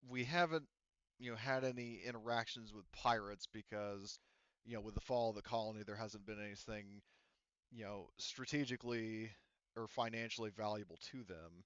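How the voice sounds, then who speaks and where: A man's voice: on the low side, normal speed, fairly bright tone, smooth, no echo, highs cut off. A man serving as game master, relaying a character's words to the players in a hesitant, filler-heavy way. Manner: hesitant, filler-heavy, says "you know" and "like" often.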